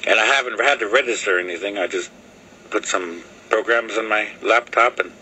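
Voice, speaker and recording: A man whose first language is Lakota, talking casually to a video camera in halting English, often pausing with fillers as he searches for words. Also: lowish voice, sharp tone, slight background noise, poor audio. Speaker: vlogger. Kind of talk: casual rambling talk to camera. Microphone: video camera mic.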